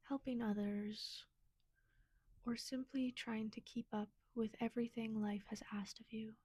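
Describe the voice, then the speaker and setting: A woman leading a guided meditation in a gentle, soothing, soft and breathy manner. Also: fairly high pitch, no room sound.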